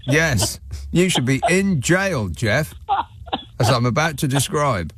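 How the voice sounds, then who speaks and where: Deadpan male radio host. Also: mid-range voice, slow, breathy edge, dry recording. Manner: sardonic, deadpan asides. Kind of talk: dry talk radio banter. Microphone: studio mic.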